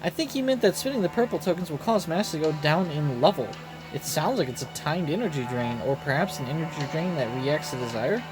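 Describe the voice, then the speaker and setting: A man's voice: fairly high pitch, lively intonation, brisk, natural voice. A man voicing an earnest, cautious companion in a video game, speaking formally, with music behind. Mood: earnest, wary. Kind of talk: reading game story dialogue aloud.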